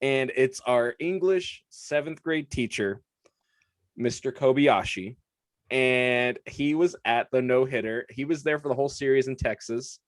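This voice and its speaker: A man's voice: mid-range voice, measured pace, dry recording. A young man co-hosting a casual sports podcast, chatty and laughing often as he talks. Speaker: podcast co-host.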